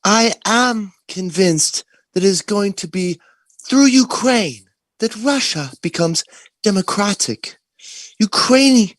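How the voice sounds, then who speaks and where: A man co-hosting a livestream, slowly reading an article aloud in a put-on lofty tone. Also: sits high, dry recording.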